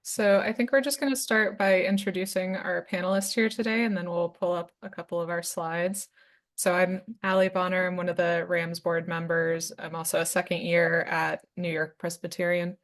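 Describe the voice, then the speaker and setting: A woman's voice: on the low side, fairly even intonation, normal speed. A young woman resident moderating a webinar panel, introducing speakers and asking questions in a friendly manner. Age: young adult.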